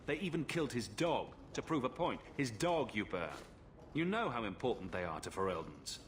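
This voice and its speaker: Man acting an animated, persuasive adventurer hero. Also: medium pitch, moderate pace, slight background noise, close to an everyday voice. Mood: wry, persuasive. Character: confident male adventurer, persuasive, sympathetic, quietly wry. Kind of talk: dramatic video game acting. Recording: music behind the voice.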